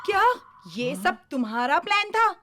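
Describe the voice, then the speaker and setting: A woman's voice: very high-pitched, big pitch swings, normal speed, noisy background. A woman voicing a stern, feisty mother in a Hindi kids' cartoon, scolding and emphatic in exaggerated dub style. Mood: angry, stern.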